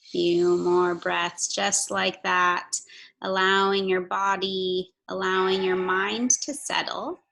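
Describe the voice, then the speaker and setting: Slow-paced woman guiding yoga, creaky voice. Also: mid-range voice, level tone, fairly bright tone, low-quality recording. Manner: soothing, measured cueing with audible breaths. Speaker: yoga instructor. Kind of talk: guided yoga and breathing instruction.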